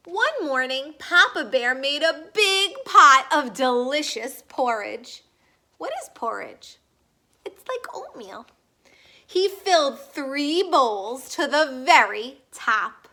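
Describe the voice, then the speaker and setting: A woman reads a children's story aloud in a high, lively voice. Her delivery is slow and emphatic, swinging widely in pitch, with little asides put to the young listeners. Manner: animated, emphatic, drawn-out key words. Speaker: storybook reader.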